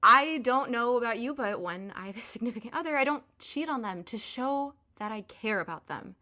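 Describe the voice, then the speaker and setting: A woman's voice: fairly high pitch, expressive, moderate pace, pushed and bright. A woman acting in an audio drama as a hurt, furious young girlfriend, sarcastic and biting as she confronts her cheating boyfriend. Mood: bitter, sarcastic.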